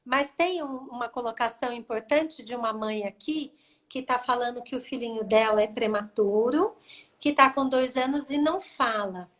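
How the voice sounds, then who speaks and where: High-voiced Brazilian woman host. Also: normal speed, fairly bright tone. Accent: Brazilian Portuguese. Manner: brief, agreeable backchannels and framing questions. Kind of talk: live video chat, reading viewer questions. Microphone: video call.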